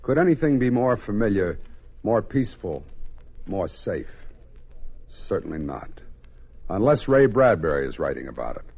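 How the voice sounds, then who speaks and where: A man's voice: on the low side, big pitch swings, normal speed, vocal fry, long pauses, darkish tone. A man giving a dramatic, scripted introduction as host of a vintage radio drama. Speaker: host announcer. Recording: muffled vintage radio recording.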